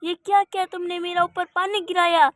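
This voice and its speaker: A woman playing a spiteful, quick-tempered daughter-in-law who scolds and bosses others in a children's cartoon story. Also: extremely high pitch, little pitch movement, a bit bright, no room sound, clean studio sound. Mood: angry, scolding. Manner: scolding, bossy, insulting.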